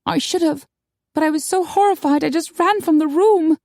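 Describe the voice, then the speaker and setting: Audiobook casting: woman performer as sweet, wide-eyed young vampire woman, high girlish voice, emotional, very dry sound. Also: normal speed, a little mellow, dry recording. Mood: tearful, horrified. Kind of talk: audiobook character acting.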